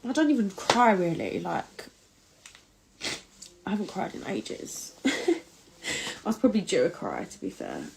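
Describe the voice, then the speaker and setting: A woman rambles tearfully at home, swearing often between sobs. Her voice is creaky and gravelly at the edges, at an ordinary pitch for a woman. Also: huge pitch swings, measured pace, pauses often, a little hiss or hum, low-quality recording. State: crying. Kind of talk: casual confessional selfie video. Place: indoors at home.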